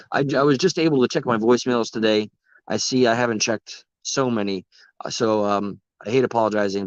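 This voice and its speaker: Hoarse, ranting livestream host man. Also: medium pitch, no echo, highs cut off. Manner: rambling, passionate, swears often. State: voice worn from talking. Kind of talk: casual livestream talk to chat.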